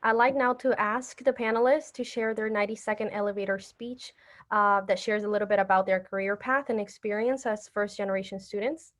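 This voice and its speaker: A woman's voice: mid-range voice, fairly even intonation, fairly quick, a bit bright. A woman moderating an online panel in a polite, brief way.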